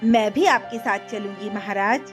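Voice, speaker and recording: A woman's voice: medium pitch, normal speed, soft background music, voice pushed down, natural voice. A woman voicing a loving, anxious queen mother in a cartoon story, tender and pleading. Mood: resolved. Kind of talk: Hindi cartoon story acting.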